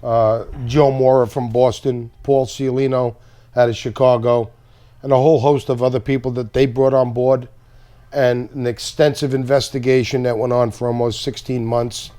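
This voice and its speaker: A man's voice: mid-range voice, level tone, moderate pace, mellow. A man, a talk show guest, earnestly laying out names and details. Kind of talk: casual interview chat.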